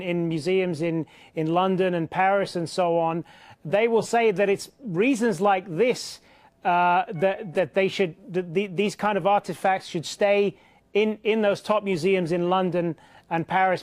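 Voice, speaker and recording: A man's voice: sits high, moderate pace, a little mellow, no echo. A man presenting a televised panel discussion, posing long conversational questions with frequent restarts. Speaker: news show presenter.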